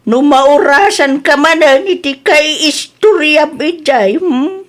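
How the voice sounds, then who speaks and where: Woman playing a high-voiced chatty Ilocano village wife. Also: slow. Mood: scolding, insistent. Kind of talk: radio comedy drama acting.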